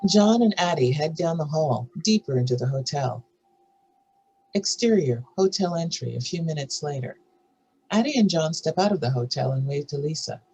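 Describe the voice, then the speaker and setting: A woman with a husky voice reading screenplay stage directions as a narrator. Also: low voice, animated, moderate pace, dark tone, a little hiss or hum, poor audio.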